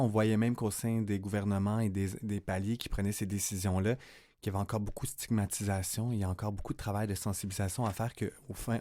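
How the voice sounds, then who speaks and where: Earnest low-voiced man. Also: dark tone. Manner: earnest, emphatic. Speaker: panel guest, community director. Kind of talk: round table discussion.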